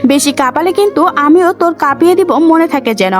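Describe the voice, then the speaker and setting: A male college principal, voiced by a woman, admonishes and teases in mock-stern fashion. The delivery is animated, in an audio story with music behind. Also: sits high, brisk.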